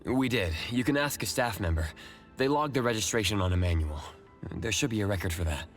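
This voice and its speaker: An actor playing a composed young investigator leading his team in a video game, measured and matter-of-fact. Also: fairly low pitch, expressive, close to an everyday voice. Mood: composed, focused. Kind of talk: scripted video game voice acting. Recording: music behind the voice.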